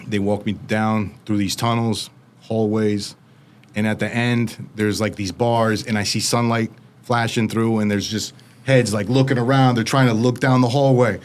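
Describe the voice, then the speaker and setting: Young American man in his twenties or thirties, mid-pitched voice, quick animated storyteller who acts out the quotes; interview guest. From United States. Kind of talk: casual storytelling.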